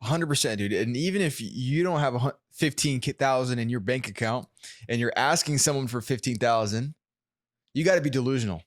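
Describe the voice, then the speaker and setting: A young man telling stories as a podcast guest, animated and acting out what he and others said. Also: medium pitch, brisk, dry recording, studio quality. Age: young adult. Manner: animated storyteller, acts out his own quotes. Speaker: guest, sales closer. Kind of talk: casual interview chat.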